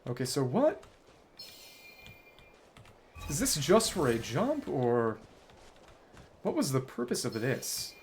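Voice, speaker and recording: A man's voice: medium pitch, huge pitch swings, slow, long pauses, darkish tone, a little hiss or hum. A man livestreaming a game, thinking aloud in bookish wording as he chats casually with viewers and wanders between topics. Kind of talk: casual gameplay commentary, chatting with viewers.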